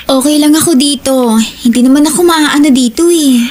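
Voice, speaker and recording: A woman's voice: sits high, normal speed, heavy background noise, lightly acted. An actress playing a worried, caring wife and mother in an audio horror drama. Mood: concerned.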